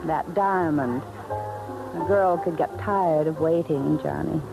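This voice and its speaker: An actress playing a coy, seductive femme fatale in a 1950s radio drama, languid and teasing. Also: female voice, low voice, slow, creaky, exaggerated voice, rough recording. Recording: vintage 1950s radio recording, music behind.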